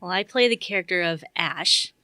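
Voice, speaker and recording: A woman with a slightly low but bright, forward voice chats casually as a radio guest. She rambles in a self-effacing way, recorded close and dry. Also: normal speed, no echo. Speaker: interview guest, volunteer radio host. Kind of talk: casual chat. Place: community radio studio.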